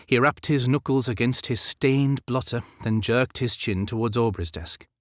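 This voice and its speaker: A man narrating a historical romance novel as an audiobook, in measured, dramatic storytelling. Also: medium pitch, no echo, studio quality.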